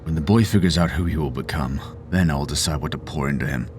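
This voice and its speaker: A low-voiced, embittered, domineering father. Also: fast, darkish tone, slight background noise. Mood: bitter, brooding. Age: middle-aged. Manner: brooding, clipped, authoritarian. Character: stern, embittered, domineering father and political activist. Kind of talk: scripted audio drama acting.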